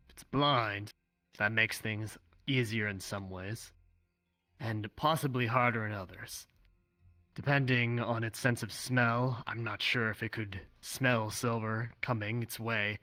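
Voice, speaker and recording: A man's voice: lowish voice, steady tone, moderate pace, sharp tone, dry recording. A man playing a knowledgeable, pragmatic trapper for hire in an improvised VR roleplay, reasoning things out aloud. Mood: pragmatic, pondering. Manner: analytical, thinks aloud with hedged reasoning. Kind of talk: improvised in-character roleplay in a social VR game.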